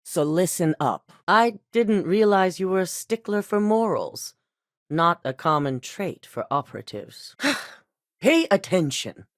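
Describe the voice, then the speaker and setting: An actress voicing a composed, commanding female corporate CEO in a video game, speaking in a measured, authoritative, businesslike way. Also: fairly low pitch, very animated, no room sound, clean studio sound. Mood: cool. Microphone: dry studio voice recording.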